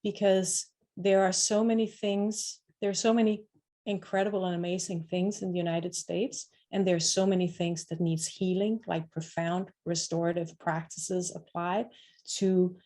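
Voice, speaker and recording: A woman speaks as a panelist in an online discussion, her voice sitting a little low. She talks reflectively and often circles back to restart a thought as she works it out. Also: little pitch movement, normal speed, mellow. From Denmark. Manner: reflective, searching for words with many restarts.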